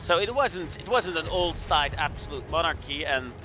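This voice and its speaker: A man from Belgium with a fairly high voice guests on a game commentary. He explains things casually and haltingly, with clear music and game vehicle noise behind him. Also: lively intonation.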